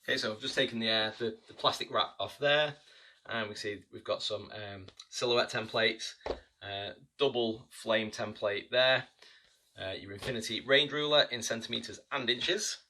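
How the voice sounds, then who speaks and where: A man's voice: on the low side, moderate pace, sharp tone, recorded from a distance, a little hiss or hum. A man giving a casual unboxing review of tabletop miniatures, chatty and hesitant, with many ums and restarts.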